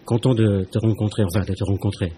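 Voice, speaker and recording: A man hosting a live radio interview, talking in a relaxed, conversational way. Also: lowish voice, quick pace, a little hiss or hum. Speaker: radio host.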